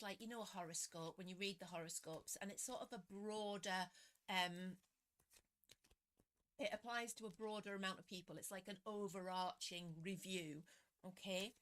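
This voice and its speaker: A woman's voice: mid-range voice, a bit bright. Chatty woman reading tarot live. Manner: chatty, self-deprecating, greets viewers by name. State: slightly croaky voice. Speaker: tarot reader hosting a live stream. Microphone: computer webcam setup.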